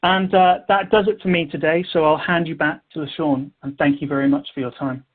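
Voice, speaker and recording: Male presenter, somewhat high voice, polished scripted delivery, phone-quality audio. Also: fairly even intonation, fairly quick. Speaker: webinar presenter. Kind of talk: prepared presentation wrap-up. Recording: tinny phone-like line.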